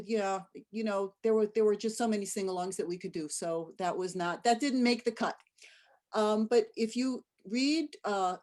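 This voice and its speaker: A woman's voice: mid-range voice, a little mellow. Chatty woman giving a music lecture. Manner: chatty, with frequent fillers and restarts. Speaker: music director giving a talk. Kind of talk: casual online lecture.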